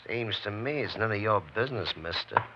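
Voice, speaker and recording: An actor playing a wary, curt, evasive ranch hand in a 1950s radio western. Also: male voice, fairly low pitch, expressive, brisk, lightly acted. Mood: surly, defiant. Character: wary, surly drifting ranch hand on the run. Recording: noisy muffled 1950s radio recording.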